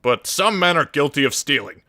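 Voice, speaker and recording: A man's voice: a little high, sing-song, moderate pace, bright, forceful tone, dry recording, studio quality. A man voicing a brash company boss in a game parody, declaiming announcements in stilted phrases. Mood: declamatory. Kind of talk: parody game voice lines, read from garbled machine translation.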